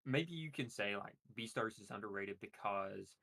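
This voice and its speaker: A man chatting casually, explaining his points at length in a monotone voice. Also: on the low side, normal speed, vocal fry. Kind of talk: casual chat.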